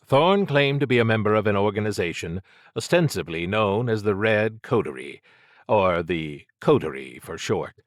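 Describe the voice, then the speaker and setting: A man narrating scripted fiction as an audiobook, with measured, dramatic storytelling. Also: lowish voice, no echo, studio quality.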